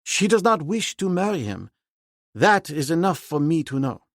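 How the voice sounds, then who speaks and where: A man doing a calm, firm jungle-raised hero. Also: a little high, moderate pace, no echo, clean studio sound, pitched above the performer's own voice. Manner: plain, steady, decisive. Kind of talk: audiobook character voice.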